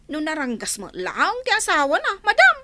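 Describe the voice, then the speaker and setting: A woman's voice: sits high, wildly swooping pitch, normal speed, sharp tone, exaggerated voice. A woman with an Ilocano accent playing an inquisitive, outspoken household confidante in a radio drama, pressing with questions. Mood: probing, exclaiming.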